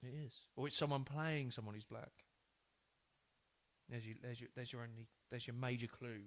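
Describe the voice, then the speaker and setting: A giggly podcast host. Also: male voice, mid-range voice, steady tone, moderate pace. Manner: laughs a lot, stumbles, tries out film voices. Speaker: podcast co-host and quiz master. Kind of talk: casual comedic banter.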